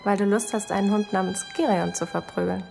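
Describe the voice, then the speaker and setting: Actress as a creaky-voiced teasing girlfriend. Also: mid-range voice, steady tone, a little fast, darkish tone, faint music behind, lightly acted. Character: teasing, wry female friend of a student. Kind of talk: German radio drama acting.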